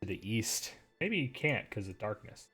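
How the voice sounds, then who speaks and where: Low-voiced man narrating a tabletop game. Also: slightly rough, faint music behind. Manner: relaxed, with frequent "uh" pauses while describing scenes. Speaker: game master.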